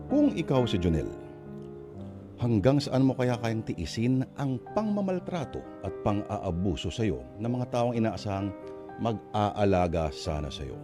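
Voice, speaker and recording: A man's voice: lowish voice, sing-song, measured pace. A man with a Filipino accent giving dramatic scripted narration as host of a radio drama. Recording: music under the voice.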